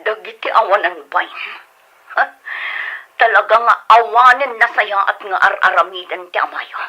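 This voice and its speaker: A woman's voice: mid-range voice, normal speed, sharp tone, low-quality recording. A woman acting a motherly, emphatic mother in an Ilocano audio drama, with an Ilocano accent, heard through a telephone filter. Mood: shocked, indignant. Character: indignant, long-suffering mother working abroad.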